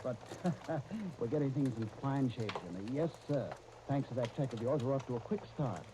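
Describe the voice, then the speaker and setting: A man's voice: medium pitch, moderate pace, natural voice. An actor playing a brash, impatient, hearty theater company man in a 1930s radio drama. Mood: hearty, glad-handing. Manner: hearty, blustering, eager. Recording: muffled 1939 radio broadcast recording with noise.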